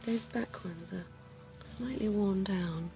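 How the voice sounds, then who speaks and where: A woman voicing a centuries-old, genteel female vampire dentist in an ASMR roleplay, speaking in a soft, posh, coaxing whisper. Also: medium pitch, slow pace, vocal fry, over music. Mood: soothing.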